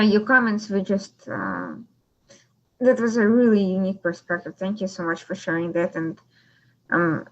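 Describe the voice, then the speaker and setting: Soft-spoken woman moderating a panel. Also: mid-range voice, dull audio. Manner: hesitant, sympathetic.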